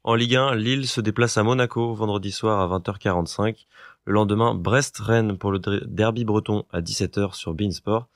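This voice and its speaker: Gravelly young man reading sports fixtures. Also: fairly low pitch, no room sound, studio quality. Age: young adult. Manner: brisk, listing fixtures with times. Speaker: sports columnist. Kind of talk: radio sports listings segment. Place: student radio studio. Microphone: studio microphone.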